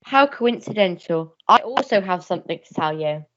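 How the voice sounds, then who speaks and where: Girl doing a soothing stuffy dad in a radio play, middling pitch, dull recording. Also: expressive, normal speed, a bit bright, close to an everyday voice. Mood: placating. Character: placating, patronising middle-aged father of a young bride. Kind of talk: comic melodrama radio play.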